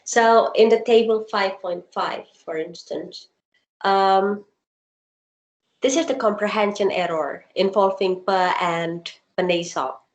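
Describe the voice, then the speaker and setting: A woman's voice: medium pitch, takes it slowly, echoey room, rough recording. A woman whose first language is Indonesian, a researcher answering a question about her dissertation on a video call and explaining with examples. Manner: thoughtful, explains with examples, many ums.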